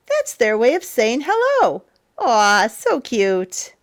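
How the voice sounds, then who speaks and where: A woman reading a children's picture book aloud as a storyteller, in a theatrical, playful, emphatic way with drawn-out words. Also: fairly high pitch, wildly swooping pitch. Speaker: storyteller, teacher.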